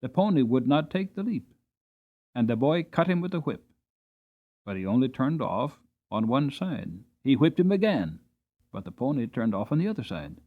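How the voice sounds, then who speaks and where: A man's voice: medium pitch, very animated, normal speed. Dramatic male storyteller, narrow-band sound. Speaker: audiobook narrator. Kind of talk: dramatic scripted storybook narration.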